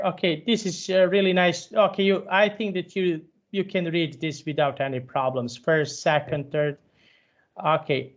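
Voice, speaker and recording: A man, teaching a one-on-one English lesson over a video call, patient and halting, often restarting his sentences. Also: on the high side, highs cut off. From Serbia. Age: 30s.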